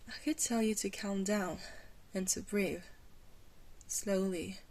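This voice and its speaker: An ancient female vampire, acted by a woman, speaks slowly and softly in an intimate ASMR roleplay. She is gentle and alluring, guiding with a reassuring, faintly hesitant manner. Her pitch sits in an ordinary middle range for a woman. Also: steady tone. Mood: calmly commanding. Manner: slow, hesitant, reassuring, with breathy pauses and small chuckles. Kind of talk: soft-spoken ASMR roleplay.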